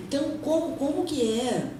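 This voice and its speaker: A woman's voice: high voice, very animated, moderate pace, background noise. A woman moderating a panel talk, asking long questions with hesitant repeats and frequent fillers. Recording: echoey room, distant mic.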